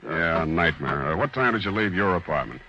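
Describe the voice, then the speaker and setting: Brief: sardonic detective, low and bright-edged, old radio style. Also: male voice, animated, brisk, husky, dull audio, full character voice. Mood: curt. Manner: dry, wisecracking. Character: wisecracking hardboiled male private detective. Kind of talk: 1940s radio acting.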